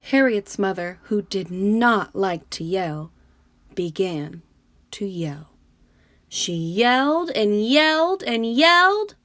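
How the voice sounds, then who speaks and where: A woman's voice: medium pitch, animated, slow pace, fairly bright tone, no room sound. A woman reading a picture book aloud for children, narrating and speaking to her young listeners directly. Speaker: storybook reader.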